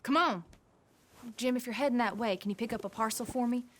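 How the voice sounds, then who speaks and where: A woman's voice: fairly high pitch, brisk, a little hiss or hum, natural voice. An actress playing a hardened American frontier wife and mother, frank and rural in speech, in a game drama. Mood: teasing. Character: hardened, plain-spoken frontier wife and mother, fed up yet hopeful. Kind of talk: naturalistic video game drama acting.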